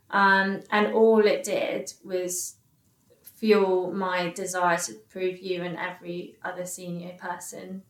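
A woman's voice: medium pitch, far from the mic. A woman, a guest telling her story candidly and animatedly, with frequent restarts. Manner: animated, many restarts and laughs. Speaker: guest, occupational psychologist. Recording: roomy echo, a bit off mic.

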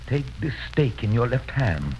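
An actor playing a grave, commanding doctor and vampire hunter, speaking solemnly and deliberately in 1930s radio drama style. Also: male voice, mid-range voice, sing-song, darkish tone. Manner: solemn, deliberate, rhetorical questioning. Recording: muffled, noisy vintage radio recording.

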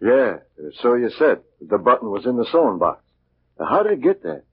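A man's voice: mid-range voice, sing-song, normal speed, close to an everyday voice. Man acting a blunt bartender, tinny noisy recording. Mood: skeptical. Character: skeptical waterfront bartender listening to a tale. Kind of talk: 1940s radio acting. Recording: tinny, hissy old radio recording.

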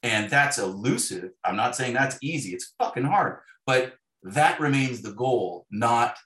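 A man's voice: medium pitch, animated, unhurried, a bit bright, reverberant. A middle-aged man speaking as a novelist in an interview, reflective and discursive, with wry self-deprecation.

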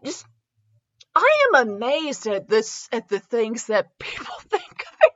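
A woman hosting a podcast with casual, humorous review chat, rambling and halting as she goes, often giggly. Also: fairly high pitch, sing-song, a bit bright, highs cut off. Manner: giggly, frequently breaking into laughter; rambling, halting self-interrupted delivery. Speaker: podcast host.

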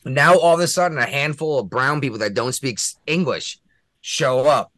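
A man's voice: medium pitch, normal speed, a bit bright, no room sound. A man co-hosting a casual political chat show, crude and joking, laughing at his own jokes. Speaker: podcast co-host.

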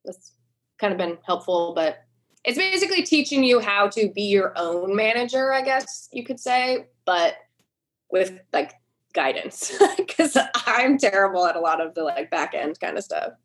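A woman musician speaking in a casual interview over a video call, with lots of likes and trailing pauses. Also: medium pitch, very animated, fairly bright tone. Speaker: musician guest.

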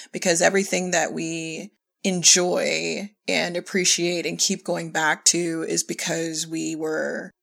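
A woman's voice: fairly low pitch, creaky, a bit bright. A woman talking casually on a podcast as a director and writing teacher, plainspoken and emphatic.

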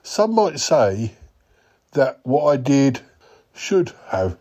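A man voicing a clueless male yeti uncle who talks slowly and hesitantly. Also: very animated, warm tone, full character voice. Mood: bemused. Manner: slow, hesitant, wandering speech with a higher voice.

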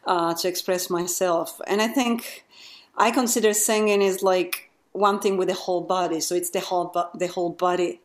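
A woman's voice: lowish voice, fairly even intonation, normal speed. A woman singer as an interview guest, talking things through in loose, searching phrases. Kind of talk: casual conversation.

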